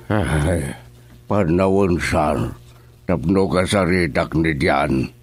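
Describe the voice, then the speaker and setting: A man's voice: rumbling low, slow pace, soft background music. A stern worried father. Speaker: father in radio drama. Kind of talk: Ilocano radio drama acting.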